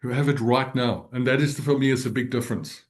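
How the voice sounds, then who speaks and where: Gentle man, native Afrikaans speaker. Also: medium pitch, brisk. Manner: gentle, earnest, laughs often. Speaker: guest. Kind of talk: reflective interview talk.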